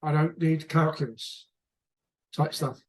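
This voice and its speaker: A man's voice: a little high, steady tone, slow pace, reverberant. A man from the UK with a British accent, chatting casually in a book club discussion, rambling and often restarting his sentences. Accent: British English.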